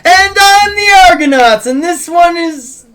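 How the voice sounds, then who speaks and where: A rowdy rock-show announcer, put on by a man at a script read. The voice is pushed high and loud, hyped up to rouse a crowd. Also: animated, a little slow, full character voice. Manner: loud, rousing.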